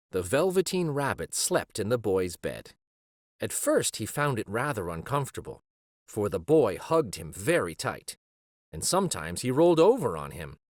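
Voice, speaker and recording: A man narrating a children's storybook aloud in a deliberate, expressive way. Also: on the low side, no room sound, studio quality.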